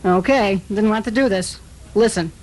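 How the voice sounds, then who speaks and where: A woman's voice: mid-range voice, moderate pace, a little mellow, natural voice. A woman playing an earnest, secretive anonymous tipster in a comedic radio drama, solemn and self-serious. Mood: secretive, urgent.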